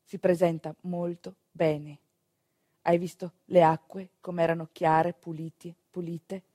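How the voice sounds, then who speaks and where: A woman playing a calm village midwife in a stage monologue, speaking low and clearly with patient, repeated reassurance. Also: no echo, studio quality. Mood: calm, reassuring. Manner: low-voiced, clear, slow and repetitive.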